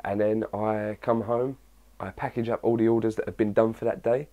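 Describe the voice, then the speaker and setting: A young man with a husky early-morning voice, chatting casually to his viewers, answering their questions and rambling with lots of fillers. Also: lowish voice, flat delivery, moderate pace, narrow, tinny audio. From England. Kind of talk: casual chat to camera.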